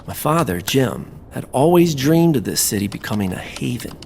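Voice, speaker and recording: Man playing a gravelly, persuasive businessman schemer. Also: mid-range voice, sing-song, normal speed, a little mellow, slight background noise. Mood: flattering. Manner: polished, persuasive, ingratiating. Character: smooth, scheming businessman pitching grand plans, flattering. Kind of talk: audio drama acting.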